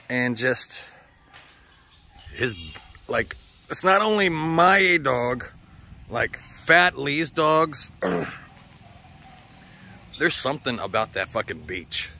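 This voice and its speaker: A man's voice: mid-range voice, animated, drawn-out words, long pauses, sharp tone, a little hiss or hum. An American man with a slightly sore throat, rambling casually and swearing often as he chats for a vlog. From United States. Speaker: vlogger. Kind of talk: casual chat.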